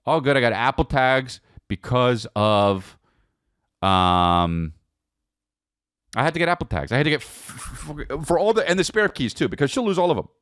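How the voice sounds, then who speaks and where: Male American podcast host, mid-pitched and highly animated, casual comedic storyteller who rambles and repeats for emphasis. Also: moderate pace, dry recording, studio quality. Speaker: podcast host telling personal stories.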